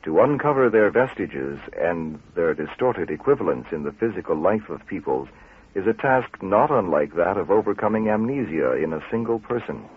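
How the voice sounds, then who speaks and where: A man delivering scripted documentary narration in a grave, formal, measured manner. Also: low voice, sing-song, breathy edge. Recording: tinny, band-limited radio recording.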